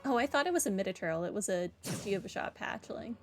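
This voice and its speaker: A woman's voice: mid-range voice, lively intonation, brisk, noisy background. A woman giving casual playthrough commentary, offhand and wry, narrating what she does as she plays. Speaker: game streamer. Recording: game music behind.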